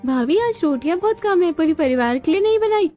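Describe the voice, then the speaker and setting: A woman's voice: extremely high pitch, lively intonation, a little fast, lo-fi sound, voice pushed up. A woman voicing an envious, put-upon younger sister-in-law who complains pointedly in a cartoon family drama. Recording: band-limited audio with music behind.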